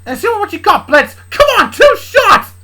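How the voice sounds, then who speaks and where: A woman voicing a hot-headed, combative male imp who shouts and taunts. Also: fairly low pitch, expressive, unhurried, breathy edge, full character voice. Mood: taunting.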